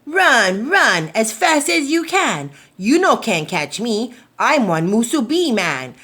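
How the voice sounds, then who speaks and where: A woman's voice: fairly high pitch, sing-song, takes it slowly, fairly bright tone, voice pushed up, heavily acted. Woman playing a cheeky, taunting runaway rice man. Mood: gleeful taunting. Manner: taunting, chanting in Hawaiian Pidgin phrasing. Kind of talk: children's storybook read-aloud.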